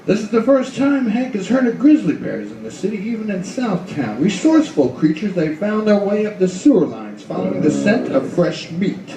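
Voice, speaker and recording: High-pitched, mellow male noir narrator, echoey. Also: sing-song, moderate pace, hardly any pauses. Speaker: narrator, author reading his story. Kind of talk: scripted noir radio-play narration. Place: live reading in a roomy venue. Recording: echoey room, distant mic, faint noise.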